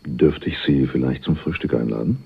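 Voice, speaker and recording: An actor playing a smooth, wry go-between in a radio crime drama, speaking in a casual, teasing, sardonic way. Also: male voice, a bass voice, normal speed, faint music behind, exaggerated voice. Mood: teasing, probing. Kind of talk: 1980s German radio crime drama acting. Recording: dull, band-limited radio play recording.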